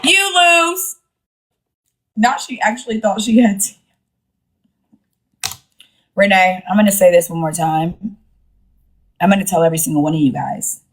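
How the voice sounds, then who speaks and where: A woman's voice: medium pitch, expressive, measured pace, pauses often, rough recording. A woman hosting a casual live stream, talking in a blunt, sassy, slangy way with plenty of swearing. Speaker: livestream host.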